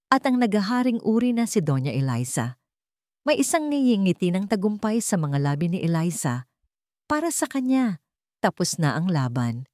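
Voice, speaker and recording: A woman storyteller reads dramatic fiction in a fairly low voice. Her phrasing is measured and suspenseful, broken into short segments. The sound is very dry, with no room around it. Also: a little mellow, dry recording. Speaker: story narrator. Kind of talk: dramatic scripted narration of a romance drama.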